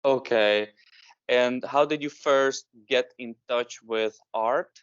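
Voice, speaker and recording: Man hosting with smooth voice. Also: mid-range voice, takes it slowly, no echo, highs cut off. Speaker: podcast host. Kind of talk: interview questions.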